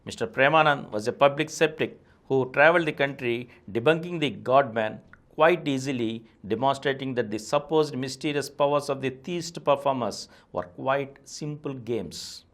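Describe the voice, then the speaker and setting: A middle-aged man with an Indian English accent, steadily reading a nonfiction book aloud as the host of his channel, recorded on a lapel mic in a small studio. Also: medium pitch. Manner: steady, deliberate reading, eyes on the page. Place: small studio with a painted backdrop and plants.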